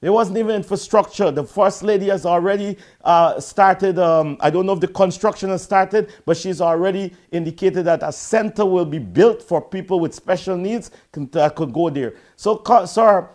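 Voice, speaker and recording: A man's voice: high-pitched, normal speed, hardly any pauses, darkish tone. A Guyanese man hosting a call-in show, firmly correcting a caller he keeps calling "sir". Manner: firm, corrective, repeatedly addresses the caller as sir. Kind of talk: heated call-in debate.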